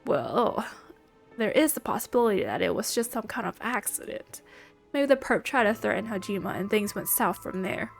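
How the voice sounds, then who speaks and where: A woman's voice: mid-range voice, animated, moderate pace, gravelly, soft background music. A woman playing a game, reading its dialogue aloud and commenting playfully in between, her voice stuffy from allergies. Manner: reads lines and then comments playfully. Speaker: let's play gamer.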